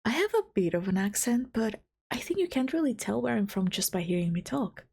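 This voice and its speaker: A woman's voice: mid-range voice, very animated, fairly quick, creaky, darkish tone, slightly rough. Giggly frazzled young woman, slight Albanian accent. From Balkans, Southeastern Europe. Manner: frazzled, scolds herself, giggly, restarts lines. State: blocked nose. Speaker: VTuber. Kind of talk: casual self-talk between recording takes. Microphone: home recording mic.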